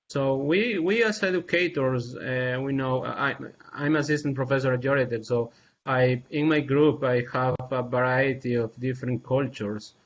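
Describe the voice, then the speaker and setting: A man from Peru speaks on a video call panel, earnest and halting, pausing often and restarting his sentences. His voice sits in a middle range. The audio is dull and lo-fi, with a faint noise underneath. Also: medium pitch. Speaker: assistant professor panelist.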